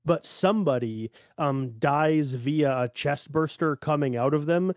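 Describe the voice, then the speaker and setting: A man with a slightly high voice talks casually as a podcast host. His delivery is informal and conversational, and the sound is very dry with no room echo. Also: unhurried, darkish tone.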